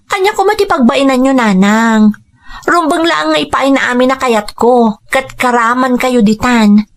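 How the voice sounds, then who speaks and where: High-pitched ambitious young Filipino woman, played by an actress. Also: a little slow. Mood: proud, insistent. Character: ambitious young woman kept by a wealthy married man. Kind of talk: Ilocano audio drama acting.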